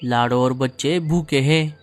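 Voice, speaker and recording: A man's voice: medium pitch, measured pace, soft background music, lightly acted. A man voicing an earnest, helpful talking bird in a cartoon, with emphatic delivery. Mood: worried. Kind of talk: cartoon dub acting.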